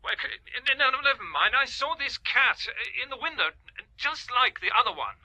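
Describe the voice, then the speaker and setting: An actor playing an earnest, slightly flustered police inspector with a British accent, making telephone reports in a radio drama. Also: male voice, extremely high pitch, sing-song, moderate pace, sharp tone, dry recording, full character voice, poor audio. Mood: excited, flustered.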